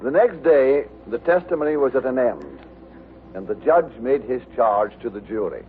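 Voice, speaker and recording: An actor plays a male storyteller narrating an old radio comedy. His voice sits at a middle pitch and his delivery is measured. The recording is tinny with faint hiss. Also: expressive, lightly acted. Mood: storytelling. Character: male storyteller recounting a comic trial. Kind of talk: scripted narration, old-time radio sitcom.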